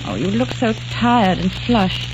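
An actress playing a sensible young woman who gently reasons with her fiancé, in an old radio drama. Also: medium pitch, animated, measured pace, natural voice. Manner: soothing, practical, affectionate. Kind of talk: 1940s radio drama acting. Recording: noisy old radio recording.

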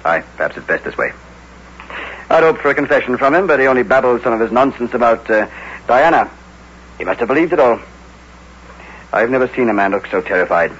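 A sceptical, reassuring police investigator in an old-time radio mystery. His blunt lines swing widely in pitch, over a dull recording with faint hiss. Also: big pitch swings, brisk, pauses often, fairly bright tone. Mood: grave. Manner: matter-of-fact, sceptical.

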